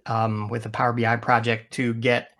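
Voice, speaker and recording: A man's voice: mid-range voice, monotone, moderate pace, a bit bright. A man who is a guest in a casual interview, telling stories with animation and frequent laughs. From Michigan, USA.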